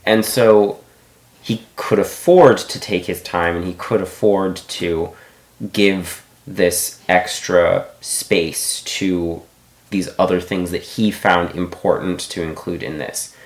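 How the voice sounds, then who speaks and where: A man's voice: sits low, fairly even intonation, slow pace, echoey room. A man giving a casual book review, thinking aloud with many restarts and asides.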